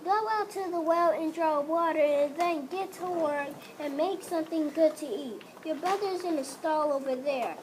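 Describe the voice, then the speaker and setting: A woman's voice: high voice, moderate pace, few pauses, slight background noise, voice pushed up, close to an everyday voice. A female reader voicing a gnarled old witch woman in a storybook, bossy and wheedling, with a thin voice. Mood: bossy, menacing. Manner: commanding, wheedling.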